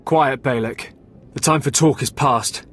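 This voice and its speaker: A cocky hired killer. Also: male voice, mid-range voice, a little slow, fairly bright tone, faint music behind. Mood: taunting. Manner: taunting, cocky. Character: menacing male thug brother, hired killer.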